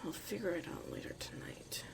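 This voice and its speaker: A woman's voice: brisk, close to an everyday voice. A woman acting a tough but caring witch mother in an ASMR roleplay, muttering and often dropping to a whisper. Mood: exasperated, muttering.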